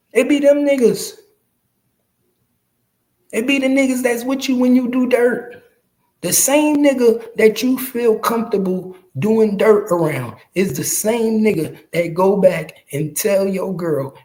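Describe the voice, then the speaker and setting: A man's voice: sits high, darkish tone. A man in his early forties giving casual, slangy street commentary to his viewers, emphatic and profane, repeating phrases to drive them home. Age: early 40s. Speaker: podcast host.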